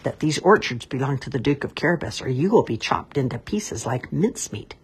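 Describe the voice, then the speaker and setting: A sly talking cat from a fairy tale, as the storyteller puts him on, bold and commanding with a crafty edge. The voice is breathy and husky, lifted a little above an ordinary middle register. He barks orders and flatters royalty in the same confident tone. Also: medium pitch, a little fast. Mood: threatening. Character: clever scheming talking cat.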